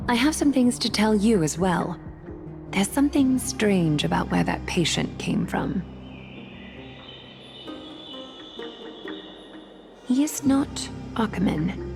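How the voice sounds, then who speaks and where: A woman playing a composed, dignified noblewoman leader in a video game, speaking in a measured, formal, authoritative way. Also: mid-range voice, gravelly. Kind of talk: dramatic video game voice acting.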